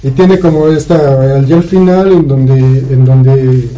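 Mellow, soft-toned Mexican man chatting. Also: mid-range voice, slow pace, low-quality recording. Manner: rambling, colloquial, frequent tag questions. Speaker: podcast co-host. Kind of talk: casual chat, recounting a film plot.

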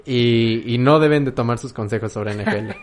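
A man's voice: mid-range voice, normal speed, a little hiss or hum. A man with a Mexican accent co-hosting a podcast in casual chat, playful and dropping English phrases. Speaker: podcast co-host.

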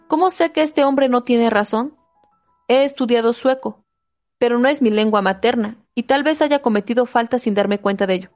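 A woman giving a steady, measured reading of a self-help book, with a few small slips and restarts. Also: fairly high pitch. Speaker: audiobook reader.

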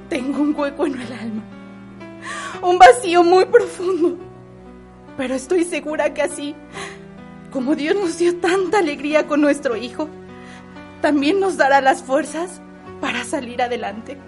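Woman acting a high-voiced, genteel Mexican wife. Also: measured pace, pauses often, a little mellow, faint music behind. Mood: sorrowful. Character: grieving, devoted wife and genteel lady of the house. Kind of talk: radio soap opera acting.

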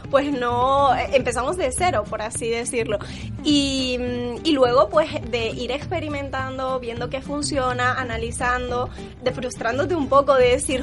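A woman from Tenerife with a softened Canarian accent, answering casually as an interview guest, with hesitant phrasing and frequent tag questions. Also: high-pitched. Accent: Canarian Spanish softened by years abroad. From Tenerife, Canary Islands. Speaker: interview guest, social media creator. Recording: music behind the voice. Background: music.

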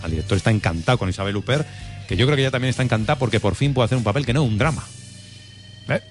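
Fast-talking man hosting a radio film show. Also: medium pitch, soft background music. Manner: fast, joking, argues then reads synopses. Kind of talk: film review radio chat.

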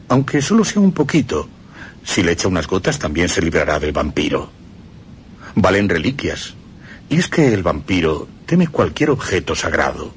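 Male radio narrator. Deep and instructive, widely varied intonation. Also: a little hiss or hum. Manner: emphatic, instructive storytelling.

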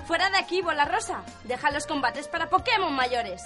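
High, haughty cartoon villainess. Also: female voice, animated, brisk. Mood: scornful. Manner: theatrical, threatening. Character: haughty, vengeful female cartoon villain of Team Rocket. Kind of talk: radio comedy parody acting. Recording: loud music behind the voice.

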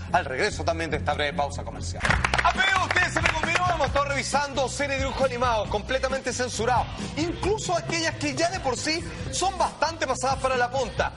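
A man with a Chilean accent presenting a talk show in an excitable, emphatic, slangy way, with theatrical build-up. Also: very high-pitched.

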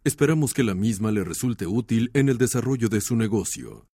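A male announcer reading a formal scripted introduction in a measured way. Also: on the low side, lively intonation, very noisy.

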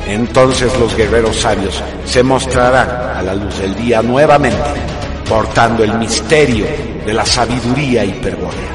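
A man's voice: fairly low pitch, moderate pace. A man narrating a radio drama from a script in a grave, declamatory manner. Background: prominent music.

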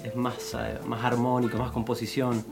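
A man from Chile, a guest musician explaining his music in technical detail, a bit halting. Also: mid-range voice, monotone, vocal fry. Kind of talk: casual interview chat.

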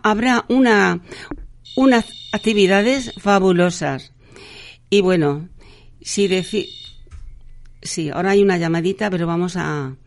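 A woman hosting a live radio show, chatting through announcements in a halting way, with frequent restarts and fillers. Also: on the low side.

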